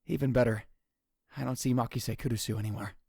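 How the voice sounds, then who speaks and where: A man's voice: mid-range voice, steady tone, quick pace, gravelly, darkish tone, breathy edge, no room sound, studio quality. A man playing a dramatic, paranoid young self-styled mad scientist in a visual novel fan dub, theatrical, brooding and self-important. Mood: calm narrating. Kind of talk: visual novel fan dub, first-person narration and dialogue.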